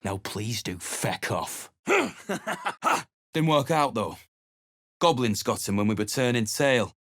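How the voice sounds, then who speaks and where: A weary, foul-mouthed mercenary for a video game, speaking gruffly and bluntly. His voice sits low, with a sarcastic edge to every remark. The sound is dry and close, with no room around it. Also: animated, slow, no echo. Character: surly male mercenary adventurer, blunt and weary. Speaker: game character.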